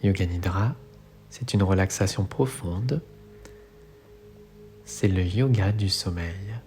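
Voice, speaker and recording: A man leading a guided relaxation meditation as an instructor, speaking in soothing, measured phrases. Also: sits low. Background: soft music.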